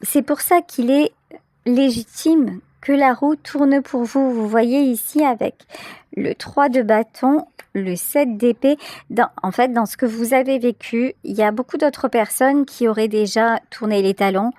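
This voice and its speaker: A woman giving a tarot reading straight to the listener, reflective and pausing to search for her words. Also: on the high side, warm tone, dry recording. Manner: hesitant, reflective, searching for words with pauses and repetitions. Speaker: tarot reader, channel host. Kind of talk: intuitive tarot reading addressed to the viewer.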